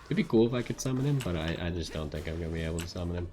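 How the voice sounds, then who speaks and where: A man with a low voice gives casual commentary while streaming a game. He sounds laid-back, musing aloud as he goes. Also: expressive, rapid-fire.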